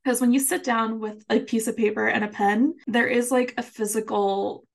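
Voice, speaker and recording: A woman's voice: a little high, steady tone, moderate pace, gravelly. A woman from Puerto Rico whose first language is Spanish, chatting casually and emphatically as a podcast co-host. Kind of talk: casual chat discussion.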